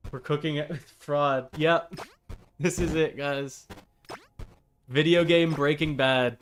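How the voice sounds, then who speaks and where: A man's voice: on the high side, fairly even intonation, takes it slowly, faint music behind. A man casually chatting on a gaming live stream, rambling along in a wry, joking way. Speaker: game streamer.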